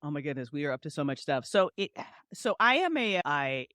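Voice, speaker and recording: A woman's voice: sits low, sing-song, brisk, fairly bright tone, no echo, clean studio sound. A woman in her forties chatting casually as a podcast guest, talking in an informal, slangy, emphatic way. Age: 40s. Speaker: podcast guest, audio drama creator. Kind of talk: casual chat.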